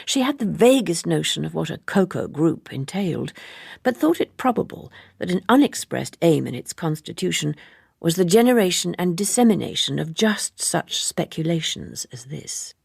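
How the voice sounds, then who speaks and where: A woman reading literary fiction as an audiobook narrator, in a measured, articulate and wry way. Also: fairly low pitch, very animated, creaky, husky, no echo, clean studio sound. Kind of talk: expressive literary scripted narration.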